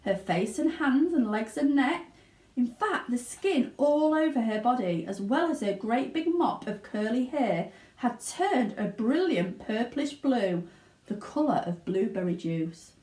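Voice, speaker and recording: A woman narrates a children's book in an expressive read-aloud style. Her voice sits fairly high and moves with very lively intonation. The room adds noticeable echo. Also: a little slow. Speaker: school teaching assistant reading aloud.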